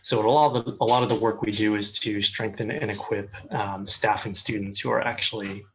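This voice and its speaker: A man from the USA with an American accent, a guest explaining his work in a measured way over a video call. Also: fairly low pitch, fairly even intonation, slight background noise, rough recording. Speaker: guest, communications head. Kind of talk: interview answers.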